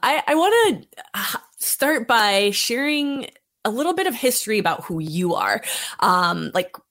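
A woman hosting a podcast, reading a guest introduction and then chatting warmly and enthusiastically. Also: on the high side, sing-song, a little slow. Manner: warm, enthusiastic, encouraging interviewer. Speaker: podcast host. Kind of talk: scripted intro then casual interview.

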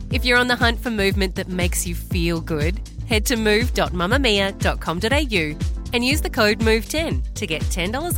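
Woman, Australian accent, scripted ad read, medium pitch, clear music bed. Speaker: advertisement presenter.

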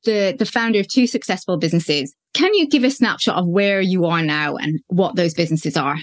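A woman's voice: fairly low pitch, expressive, a little fast. An Irish woman hosting a business podcast, reading her intro and interviewing a guest. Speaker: podcast host and business coach.

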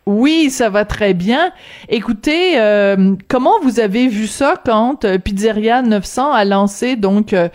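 A woman with a somewhat high voice hosts an interview. She talks in a conversational way, framing questions and restating points for a guest. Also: slow pace, darkish tone. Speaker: interviewer, radio host.

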